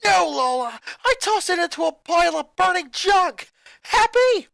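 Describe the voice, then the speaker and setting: An eleven-year-old boy, bitter and vengeful, lets his anger loose through gritted teeth, as a story reader puts him on. His voice swings widely between sneering sarcasm and full yelling. The recording is dry and close. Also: very high voice, big pitch swings, unhurried, gravelly, no room sound, pitched above the performer's own voice, heavily acted. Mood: shouting. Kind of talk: fan fiction reading.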